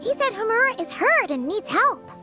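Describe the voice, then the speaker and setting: A tiny, excitable fairy sidekick from a fantasy game. The voice is extremely high, childlike and wildly animated, chirpy and blunt, with loud music behind it. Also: female voice, moderate pace. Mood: concerned. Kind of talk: video game voice acting.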